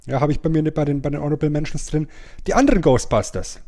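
A man's voice: on the high side, very fast, dark tone. A middle-aged man chatting casually as a podcast co-host. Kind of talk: casual chat, ranking old cartoons.

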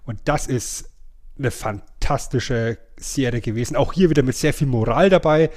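A man's voice: mid-range voice, normal speed, a little mellow. A man, chatting casually and playfully as a podcast co-host. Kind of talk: casual nostalgic chat.

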